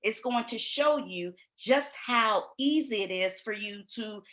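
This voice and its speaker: Brief: woman host, writing coach; bright, loud, folksy, laughs a lot. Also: a little high, a little slow. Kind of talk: lively live-stream talk.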